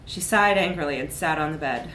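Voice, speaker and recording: A woman's voice: low voice, a little fast, a bit bright, echoey room, a little hiss or hum. A woman reads a comic short story aloud at a live event, with wry, emphatic narration. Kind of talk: animated literary narration, live reading.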